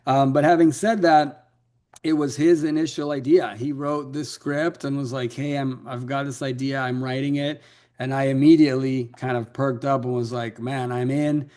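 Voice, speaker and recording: A man's voice: medium pitch, level tone, moderate pace, a little mellow. Fluent man, raised in Ecuador. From Latin America, raised in Ecuador. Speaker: film director. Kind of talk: interview answers.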